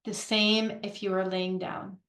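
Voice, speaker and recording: A woman leading a guided meditation in a gentle, unhurried way, pausing between phrases. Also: mid-range voice, level tone, echoey room. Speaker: guided meditation leader, Reiki practitioner. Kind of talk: guided meditation, soothing instruction.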